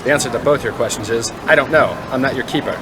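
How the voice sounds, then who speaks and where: Man acting a fast-talking, wisecracking old-time reporter. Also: mid-range voice, noisy background, close to an everyday voice. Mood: sardonic. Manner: sarcastic, snappy, old-fashioned slang. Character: gruff, wisecracking old-time tabloid reporter, brash and insulting. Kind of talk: audio drama acting.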